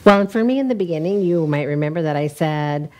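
Host in her 50s, woman, slightly low voice, chatty and animated, laughs a lot, studio set. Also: fast talker. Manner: animated, laughs often, acts out quotes. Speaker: talk show host. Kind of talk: casual chat. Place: indoor TV studio set, seated at a café table.

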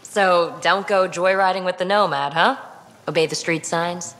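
A woman's voice: fairly low pitch, moderate pace, a bit bright, big-room sound, close to an everyday voice. Game sidekick: young alien woman, voiced by an actress, playful, breezy, teasing, chatty and upbeat. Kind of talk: video game dialogue.

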